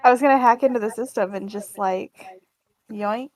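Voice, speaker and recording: A woman's voice: a little high, normal speed, darkish tone, no room sound. A woman speaking casually as a player in a tabletop roleplaying game, improvising her character's actions.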